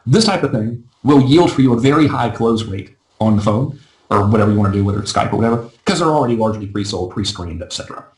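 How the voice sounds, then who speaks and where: A man coaching a live webinar in a chatty, self-deprecating way, his voice going a little hoarse. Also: fairly low pitch, expressive, a little mellow, reverberant, low-quality recording. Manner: fast, chatty, self-deprecating, often asks "right?". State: losing his voice. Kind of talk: casual live webinar teaching.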